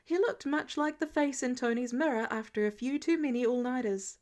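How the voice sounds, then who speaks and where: A woman reading fiction aloud as an expressive, scripted audiobook-style narrator. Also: sits high, brisk. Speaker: podfic reader.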